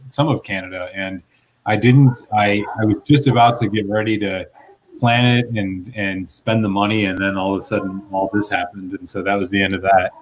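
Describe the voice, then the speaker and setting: A man's voice: fairly low pitch, low-quality recording. A man hosting a live whisky stream, chatting with his guests. He talks enthusiastically and at length, with lots of "like". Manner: enthusiastic, talkative, says "like" a lot. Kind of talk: casual live-stream chat.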